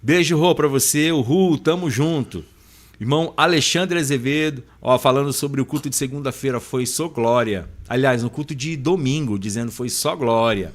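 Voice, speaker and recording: A man's voice: mid-range voice, moderate pace. Brazilian man hosting a casual podcast. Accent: Brazilian Portuguese. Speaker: podcast host. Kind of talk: casual live podcast chat, reading out chat greetings.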